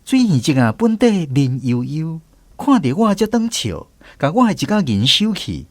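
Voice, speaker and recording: A man narrating a story in a gentle, nostalgic, unhurried way. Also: on the high side, big pitch swings, background noise. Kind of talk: scripted storytelling narration, reminiscing. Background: clear background music.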